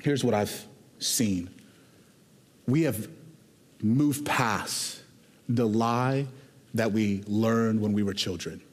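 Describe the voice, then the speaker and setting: A man from Houston, Texas, preaching a sermon as a pastor in a conversational, animated way that moves between quick asides and slow, emphatic lines. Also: on the low side. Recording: long hall reverb.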